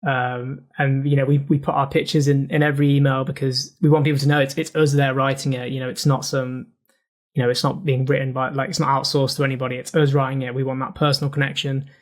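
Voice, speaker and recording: A man's voice: mid-range voice, quick pace. A man, a newsletter editor, speaking casually in an interview with many "like"s and "um"s. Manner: relaxed, many fillers like "like" and "um".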